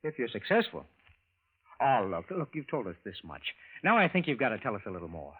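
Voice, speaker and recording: A man's voice: mid-range voice, sing-song, brisk, slightly rough. A man acting a folksy, skeptical, bewildered American husband and narrator in an old radio drama, in a colloquial style. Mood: puzzled, pressing. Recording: tinny vintage radio recording, music behind.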